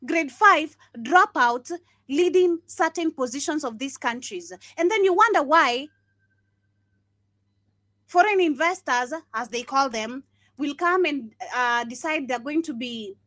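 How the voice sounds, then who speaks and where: Woman host ranting, high pitched, dry close sound. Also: fairly bright tone, dry recording. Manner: scornful, laughing, emphatic. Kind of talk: casual commentary.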